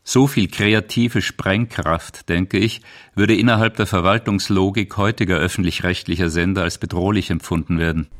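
A man's voice: low-pitched, fairly even intonation, normal speed. A man reading scripted narration for a radio documentary as its author and narrator.

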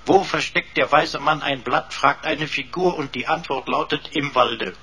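An actor playing a pedantic computer butler in a radio play, servile in wording yet snootily mocking, pompous and over-formal. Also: male voice, fairly high pitch, unhurried, pushed and bright.

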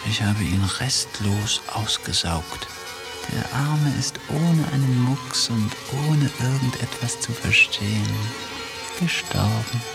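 A man voicing a cold female spider in a radio play, who speaks low and hushed about devouring her mate. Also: slow pace, creaky, over music, rough recording.

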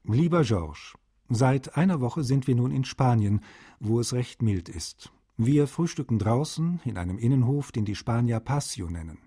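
A man's voice: mid-range voice, animated, moderate pace, dark tone, breathy edge. A man playing a friendly, wry translator, reading his letters aloud in a radio feature. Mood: relaxed, friendly.

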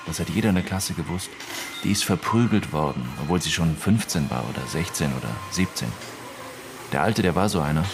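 A man's voice: low-pitched, animated, a little fast, music in the background. An actor playing an evasive, irritable man under questioning in a German radio drama, talking in a curt, slangy way. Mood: irritable, accusing. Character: defensive, evasive man questioned about dead classmate.

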